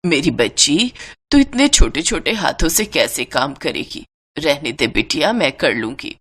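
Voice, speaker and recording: A woman's voice: low voice, sing-song, a little slow, fairly bright tone, slightly rough, pitched below the performer's own voice, exaggerated voice. A woman voicing a kind, suffering old grandmother in a story, tender and pleading. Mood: tender, weary.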